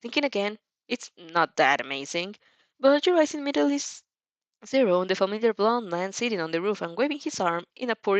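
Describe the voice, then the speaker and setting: A woman narrates fan fiction in an expressive storytelling style. Her pitch moves a great deal, and the recording is dry and slightly muffled. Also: no echo.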